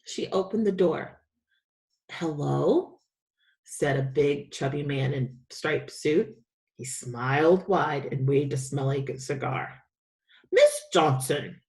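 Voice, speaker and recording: A woman's voice: deep voice, measured pace, darkish tone. A woman teacher reading a children's story aloud with expression.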